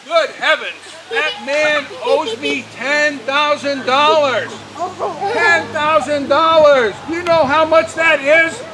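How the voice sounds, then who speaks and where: Woman acting slow, high-pitched, blustering rich puppet master. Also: a crowd in the background. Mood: indignant. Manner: theatrical, exclaiming, deliberate. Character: wealthy puppet master, blustering but soft-hearted. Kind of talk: children's puppet show acting. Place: outdoors at an open-air show. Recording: windy, noisy outdoor live recording.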